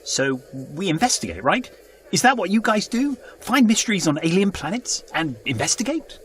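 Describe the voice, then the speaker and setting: An actor playing a sceptical, puzzled travelling companion from Britain in an audio drama, dry and questioning. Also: male voice, sits high, sing-song, normal speed, fairly bright tone, slight background noise. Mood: puzzled, curious. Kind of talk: full-cast audio drama acting.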